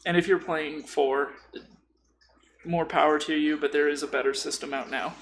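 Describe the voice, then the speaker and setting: A man's voice: a little high, flat delivery, moderate pace, slight background noise. A man chatting casually about tabletop gaming in a joking, informal way with some mild swearing. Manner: joking, informal, mildly profane. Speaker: podcast host.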